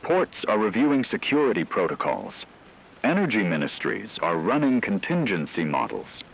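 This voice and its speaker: A man playing a solemn radio anchor, reading a scripted emergency broadcast in a measured, ominous manner. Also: fairly low pitch, very animated, vocal fry, slight background noise, rough recording. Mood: grave, ominous. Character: grave male radio anchor reporting a looming war.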